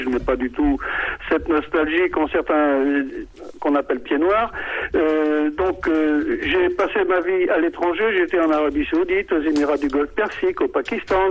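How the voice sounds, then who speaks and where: A man's voice: fairly high pitch, slightly rough. An older man calling into a radio debate, speaking hesitantly with many fillers and restarts. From Morocco. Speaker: radio caller. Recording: muffled phone call on radio.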